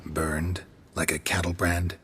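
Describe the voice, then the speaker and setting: An actor playing a gruff, stoic monster hunter in a video game, with a gravelly growl and a terse, deadpan delivery. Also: male voice, low voice, takes it slowly, slight background noise. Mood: terse, guarded. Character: gruff, stoic male monster hunter searching for two women.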